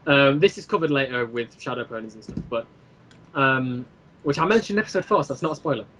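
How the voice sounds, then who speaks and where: A man in a casual podcast chat, explaining his own creative work in a rambling, halting way and repeating phrases as he goes. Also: mid-range voice, lively intonation, fairly bright tone, slight background noise. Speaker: guest, creator of an audio drama series.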